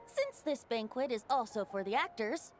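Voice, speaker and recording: Light teasing young bard god for a game, kinda high and lilting, music under it. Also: female voice, big pitch swings, moderate pace. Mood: playful teasing. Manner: playful, wry, theatrical. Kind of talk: scripted video game voice acting.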